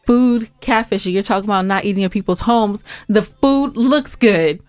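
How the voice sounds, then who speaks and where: A woman's voice: medium pitch, moderate pace, darkish tone, smooth, dry recording, soft background music. An American woman co-hosting a podcast, chatting casually in an emphatic, argumentative way and dramatizing her complaints. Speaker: podcast co-host. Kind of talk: casual, bantering podcast chat.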